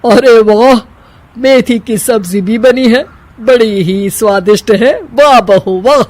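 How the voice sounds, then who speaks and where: A woman's voice: on the high side, slow, a little mellow, dry recording. A woman acts out an older family man, the father-in-law of a household tale. He is easygoing and appreciative, speaking heartily in a dry, close recording. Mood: delighted. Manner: hearty, praising.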